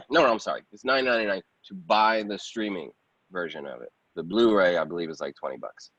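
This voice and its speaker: A man's voice: low-pitched, expressive, fairly quick, fairly bright tone. A man giving a casual interview as an independent filmmaker, rambling conversationally and often repeating phrases. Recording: dull, roomy lo-fi sound.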